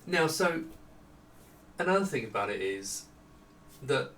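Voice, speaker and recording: A middle-aged man talks through his product in an informal, halting way, as if thinking aloud. His mid-pitched voice is picked up from some distance in a reverberant small room, with faint noise behind it. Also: sing-song, fairly bright tone. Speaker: product designer presenting his monitors. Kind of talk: casual unscripted product walkthrough. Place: small home office indoors with studio lighting.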